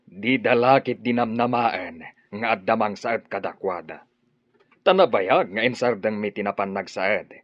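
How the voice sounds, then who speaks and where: An Ilocano henchman in a radio drama. His voice is fairly low and self-assured, a little defensive, recorded dry and dull. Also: lively intonation, measured pace, no echo. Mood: defensive, boastful.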